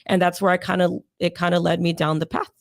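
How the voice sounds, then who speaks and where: A young adult woman, hoarse and raspy from sinus pressure, chatting as an interview guest in a rambling way with many fillers. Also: lowish voice, flat delivery, fast, no echo, clean studio sound. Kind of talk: casual interview storytelling.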